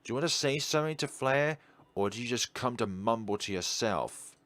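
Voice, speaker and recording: A man's voice: mid-range voice, animated, brisk, a bit bright, no echo, pitched above the performer's own voice, natural voice. A man voicing a hostile, protective housemate in a visual novel, sarcastic and confrontational. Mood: taunting. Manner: taunting, confrontational.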